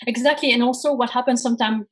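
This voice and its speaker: A woman's voice: fairly high pitch, fairly even intonation, quick pace. A woman from France speaking English with a French accent, an earnest career coach telling her story in an interview. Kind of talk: podcast interview.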